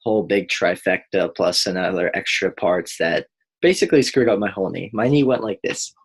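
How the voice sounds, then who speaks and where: A man's voice: deep voice, fairly quick, breathy edge. A man from the United States, a guest in a casual podcast interview, easygoing and talkative.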